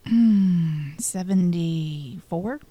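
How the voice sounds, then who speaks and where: Lady on a quiz podcast, lowish voice, takes her time, hesitant short answers, dry close sound. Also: drawn-out words, dark tone, slightly rough, no echo, lo-fi sound. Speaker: co-host answering trivia. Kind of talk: casual quiz chat.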